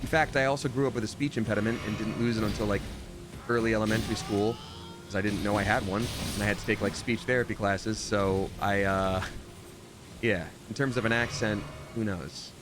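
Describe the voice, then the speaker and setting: A man's voice: fairly low pitch, brisk. Chatty man streaming a game. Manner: chatty and rambling, with sudden excited jumps. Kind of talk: live gameplay commentary. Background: game music.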